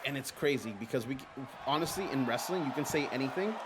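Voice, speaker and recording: A man whose first language is Spanish, hosting a live stream and commentating a simulated wrestling game in an excitable, chatty way. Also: medium pitch, fast. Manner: excitable, chatty, reads viewer comments aloud. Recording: noisy background.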